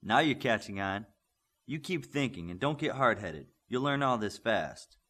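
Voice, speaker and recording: A seasoned superhero combat coach , acted by a man reading an audiobook. The delivery is blunt and instructive, with lively swings in pitch. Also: normal speed. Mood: matter-of-fact. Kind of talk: audiobook character voice.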